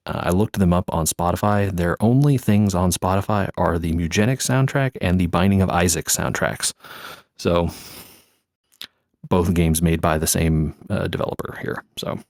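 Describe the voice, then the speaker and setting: A man's voice: low-pitched, normal speed, a little mellow, dry recording, clean studio sound. A man co-hosting a podcast, chatting casually about video games. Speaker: podcast co-host.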